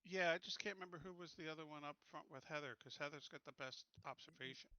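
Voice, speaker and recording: A man's voice: a little high, fast talker, sharp tone, lo-fi sound. A man chatting casually as a player in a tabletop roleplaying game.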